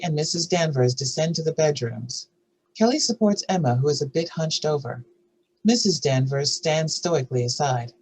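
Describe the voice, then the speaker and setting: A woman with a husky voice reads scripted narration and stage directions for a drama table read. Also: low voice, a little mellow, low-quality recording.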